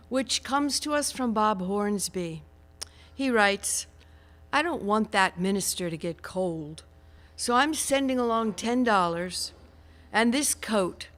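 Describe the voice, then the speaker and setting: A woman as a church treasurer, reading out scripted fundraising announcements in a measured, deliberate way. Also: mid-range voice, fairly bright tone.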